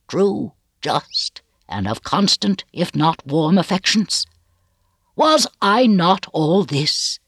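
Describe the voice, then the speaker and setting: Reader doing a gloomy vengeful old doctor man, grave old-timey speech, pitch all over, kinda husky. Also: no room sound, clean studio sound, heavily acted. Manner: archaic, grave, rhetorical speech. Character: bitter, gloomy, vengeful old male physician.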